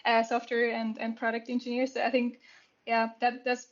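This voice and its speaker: A young woman from Switzerland chats as a guest on a podcast, her higher voice staying level with little melody. She fills pauses with ums and laughs easily. The audio sounds a bit muffled. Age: young adult. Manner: relaxed, laughs often, frequent ums. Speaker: podcast guest, software and product engineer. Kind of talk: casual podcast conversation.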